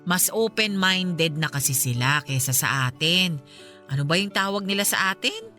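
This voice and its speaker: An apologetic middle-aged Filipino mother in a radio drama. She speaks conversationally in a slightly low voice, contrite and impulsive, over light background music. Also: expressive, moderate pace, bright, forceful tone. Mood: conciliatory.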